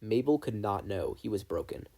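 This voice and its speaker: Male narrator, low pitch, husky, mellow, scripted storytelling. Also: very animated, moderate pace. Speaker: fan fiction audiobook reader.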